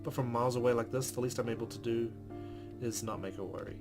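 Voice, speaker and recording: A man's voice: mid-range voice, fairly even intonation, fast. A man reading a visual novel aloud as a let's play host, with casual asides. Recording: clear game music behind.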